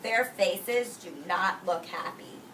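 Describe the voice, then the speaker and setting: Lady teacher reading a storybook to little kids, expressive and instructive, roomy sound from far off. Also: mid-range voice, takes it slowly. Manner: expressive, instructive, explains words simply and directs the children. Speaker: preschool teacher. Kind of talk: animated read-aloud to a class of young children. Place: classroom with young children. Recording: roomy, distant microphone, faint noise.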